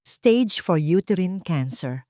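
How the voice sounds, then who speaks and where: A woman's voice: on the low side, takes it slowly, no room sound, studio quality. A woman voicing an elderly male doctor, grave and emotionless, in an audio drama. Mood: grave, detached. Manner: flat, unemotional delivery.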